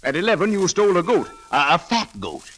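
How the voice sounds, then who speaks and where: A scolding older priest in a 1930s radio play. His voice sits fairly high for a man and swings widely in pitch as he delivers stern, exasperated fatherly reproofs. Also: sing-song, brisk, a bit bright, full character voice. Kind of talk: 1930s radio drama acting. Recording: old 1930s radio broadcast.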